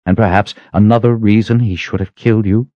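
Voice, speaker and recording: A man's voice: lowish voice, steady tone, brisk, mellow, no echo, highs cut off, voice pushed up, close to an everyday voice. A man voicing a furious, commanding witch woman in an audiobook, full of accusing menace. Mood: cold menace. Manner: hissing threats, accusing rhetorical questions.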